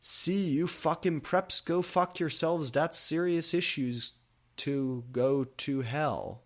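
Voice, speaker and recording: A melodramatic goth teenage girl, acted out by a man reading a story, pitched well up from his own voice. She is exclamatory, foul-mouthed and overwrought, always ready to take offence. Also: takes it slowly. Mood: angry, defiant.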